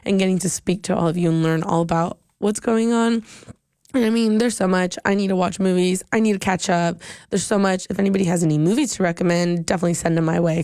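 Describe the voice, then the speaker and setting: A young woman hosting a college radio show, chatting casually and rambling upbeat, her voice slightly hoarse and giving out. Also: on the low side, darkish tone, dry recording, clean studio sound. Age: young adult. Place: radio studio booth. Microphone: studio microphone.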